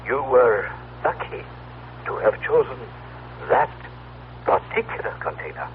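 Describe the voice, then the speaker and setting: A man's voice: mid-range voice, very animated. An actor playing a formal, proud telepathic man from the far future, speaking in stilted, solemn phrases in a radio drama. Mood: patient, explanatory. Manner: stilted, measured, solemn diction with deliberate pauses. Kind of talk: old-time radio drama acting. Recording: tinny, humming, noisy radio recording.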